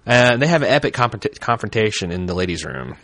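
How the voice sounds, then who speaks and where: Low-voiced man dissecting a TV episode. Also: fast, no room sound. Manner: animated, analytical, swears casually. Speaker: podcast co-host. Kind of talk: casual chat analyzing a TV episode.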